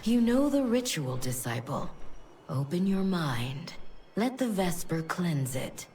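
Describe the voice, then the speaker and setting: A woman voicing a devout winged mentor in a video game, speaking with measured, ritual solemnity. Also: on the low side, very animated, mellow, a little hiss or hum. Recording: slightly distant, faint music behind.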